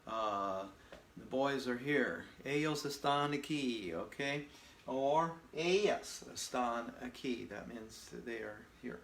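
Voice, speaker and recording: A man's voice: mid-range voice, takes it slowly, vocal fry, fairly bright tone. A man whose first language is English, giving a casual spoken Spanish lesson in a patient, folksy way and checking in often with "okay?". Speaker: Spanish teacher.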